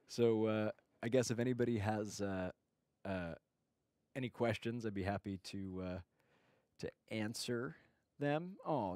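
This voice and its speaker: A man's voice: on the low side, dry recording. A man hosting a casual livestream chat, greeting viewers and rambling with many fillers and restarts. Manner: greets viewers by name warmly. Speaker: livestream host.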